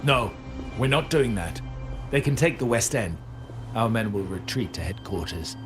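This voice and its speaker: A man's voice: on the low side, animated, normal speed, lightly acted. An actor playing a stern, cautious man leading a crime family in a video game, serious and decisive. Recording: music behind the voice. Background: game music.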